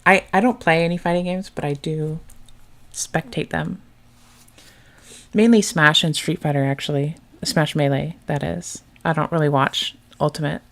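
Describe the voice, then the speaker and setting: Woman, low voice, soft and chatty, casual stream commentary, laughs often. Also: fairly even intonation, moderate pace, creaky.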